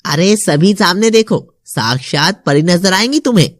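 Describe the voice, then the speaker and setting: A performer voicing a gawking, gossipy village man in a cartoon, excitable and mocking. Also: medium pitch, moderate pace, no room sound, voice pushed down, natural voice. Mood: excited.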